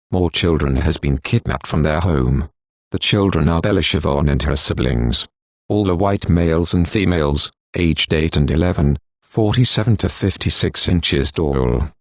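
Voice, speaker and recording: A low-pitched man delivers a formal emergency alert. His delivery is flat and stilted, with a synthetic feel. The sound is dry and free of room echo. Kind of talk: scripted emergency broadcast announcement.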